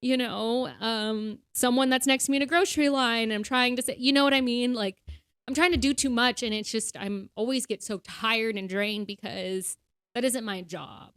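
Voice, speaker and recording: A young woman in her twenties co-hosting a podcast, talking candidly and quickly with lots of fillers. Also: fairly high pitch, fairly even intonation, a bit bright, smooth, no room sound, studio quality. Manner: candid, talks fast with many "like" fillers. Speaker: podcast co-host. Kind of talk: casual chat.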